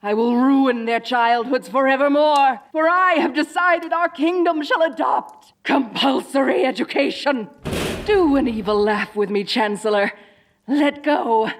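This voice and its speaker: A woman playing a dorky, theatrical evil sorceress queen in a comedic roleplay audio drama, grandiose and gleefully wicked yet soft-hearted and awkwardly chatty. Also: sits high, a little slow. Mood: gloating, gleeful.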